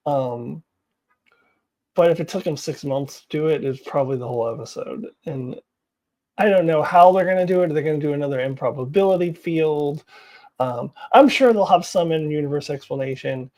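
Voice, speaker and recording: A woman's voice: deep voice, normal speed, mellow. A woman giving casual, opinionated commentary as a vlog host, with a wry, blunt, exasperated and giggly manner.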